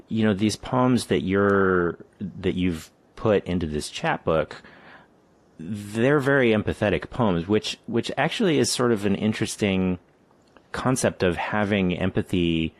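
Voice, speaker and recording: A man hosting an interview, asking thoughtful, measured questions about poetry. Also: low-pitched, no room sound. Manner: thoughtful, measured, frequent "mm-hmm". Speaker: podcast host.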